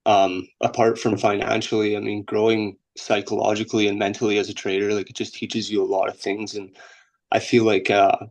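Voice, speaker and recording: Gravelly, flat-voiced man from Bosnia. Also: on the low side, brisk, rough recording. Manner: loose, rambling, leans on "you know what I mean" and "right?". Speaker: guest trader. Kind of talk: casual interview over a call. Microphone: video call.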